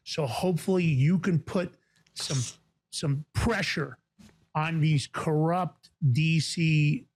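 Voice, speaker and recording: A man from the Bronx with a New York accent, hosting a talk show in a fast, emphatic, indignant way. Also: on the high side, steady tone, a little mellow, breathy edge. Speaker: podcast host.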